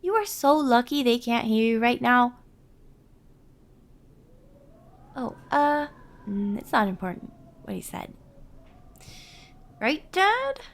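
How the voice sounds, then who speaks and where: High-voiced, sweetly flustered young woman, acted by a woman. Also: big pitch swings, unhurried, pauses often. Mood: nervous, reassuring, then exasperated, flustered. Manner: hesitant, affectionate, easily exasperated. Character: sweet flustered young woman introducing her ghost family to a partner. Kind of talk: scripted roleplay audio drama, one-sided conversation.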